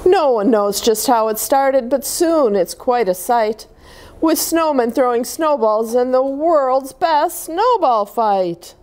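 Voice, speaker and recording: A woman reads a picture book to young children in a slightly high, very lively voice. She phrases each line expressively and deliberately, breaking off for chatty asides. A little background noise sits behind her. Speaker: children's story-time reader at a church.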